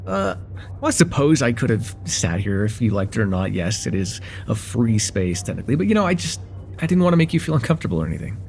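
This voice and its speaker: A man's voice: on the low side, sing-song, fast talker, vocal fry, darkish tone, a little hiss or hum. A man playing a friendly, chatty young guy at a cafe in a casual, slightly hesitant conversational ASMR roleplay. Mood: friendly, curious.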